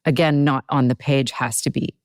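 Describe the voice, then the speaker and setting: A woman with a deep voice, chatting reflectively as an interview guest and laughing easily. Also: fairly even intonation, normal speed, dry recording, studio quality. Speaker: guest actor. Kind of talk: casual interview chat.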